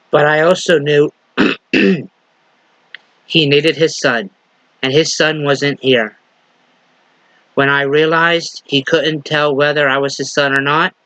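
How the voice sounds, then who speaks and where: Minister with a lingering slight cough. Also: male voice, a little high, bright, forceful tone, no room sound, low-quality recording. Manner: unhurried, halting with fillers and restarts. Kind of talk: sermon with story reading.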